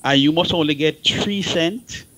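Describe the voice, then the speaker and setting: A man's voice: a little high, measured pace, fairly bright tone. A man with a Jamaican accent speaking in an opinionated radio discussion, emphatic and often repeating words for stress.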